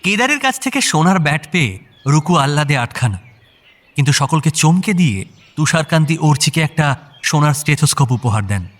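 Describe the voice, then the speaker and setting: A man's voice: a little high, sing-song, brisk, a bit bright. A man narrating a scripted audio story.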